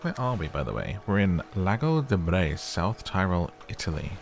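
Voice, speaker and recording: A man's voice: sits low, big pitch swings, normal speed, creaky. A man casually commenting on a relaxing game as he plays, chatty and easygoing, speaking straight to his viewers. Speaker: gaming YouTuber. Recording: game music playing behind. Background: soft game music.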